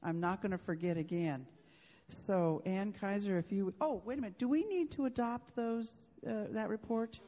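A woman chairing a club business meeting, brisk and procedural, with a loud voice. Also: medium pitch. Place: meeting room. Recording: muffled echoey hall sound.

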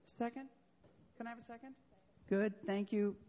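A woman chairing a club business meeting, brisk and procedural, with a loud voice. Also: a little high. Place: meeting room. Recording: muffled echoey hall sound.

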